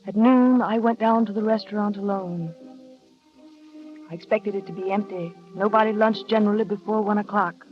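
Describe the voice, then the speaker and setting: An actress in a 1940s radio drama playing a shy nineteen-year-old companion, soft-spoken and wistful, narrating her memories. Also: medium pitch, fairly even intonation, moderate pace, natural voice. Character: shy young woman of nineteen, paid companion. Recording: dull old radio recording with music.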